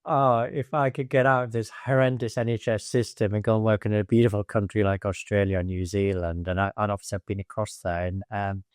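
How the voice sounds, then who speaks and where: A man's voice: on the low side, level tone, a little fast, hardly any pauses, clear, resonant tone, no room sound, studio quality. A man from the United Kingdom hosting an interview podcast, talking in a conversational way with fillers and restarts. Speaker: podcast host.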